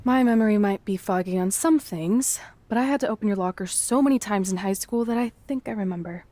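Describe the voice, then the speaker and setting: A woman's voice: medium pitch, fairly quick, warm tone, close to an everyday voice. A woman playing a loyal, sarcastic young woman wrestler who encourages her friend in a video game cutscene. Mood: dry. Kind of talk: scripted game cutscene acting.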